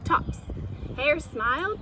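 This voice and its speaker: A woman narrates a children's storybook with a high voice. She takes her time, reading expressively and explaining like a teacher. Clear ambient noise sits in the recording. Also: expressive, slow pace. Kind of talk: storytime read-aloud narration.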